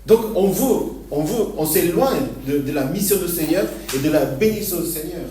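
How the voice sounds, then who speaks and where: Male pastor, stop-start delivery with frequent laughs, mellow voice; distant mic in an echoey church hall. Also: a little high, lively intonation, slight background noise. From Argentina. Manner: halting, repeats words, laughs often. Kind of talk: sermon.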